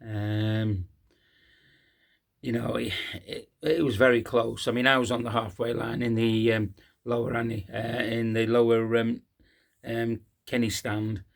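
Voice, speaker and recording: A hoarse man, hosting a casual post-match fan talk, halting with many ums and uhs. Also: mid-range voice, level tone. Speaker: fan channel host.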